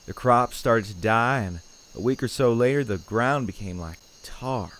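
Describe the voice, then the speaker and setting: A man's voice: medium pitch, lively intonation, normal speed, fairly bright tone, lightly acted. A man playing a troubled, plain-spoken farmer in an audio horror play, hesitant, folksy and understated. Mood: grim, resigned. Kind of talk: audio horror drama acting. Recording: insect-like ambient noise behind dialogue.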